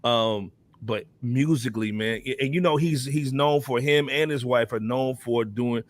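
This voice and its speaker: A man's voice: medium pitch, moderate pace. An American man hosting a casual hip hop talk show, speaking in loose slang and often tagging phrases with "man". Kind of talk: casual panel chat about hip hop.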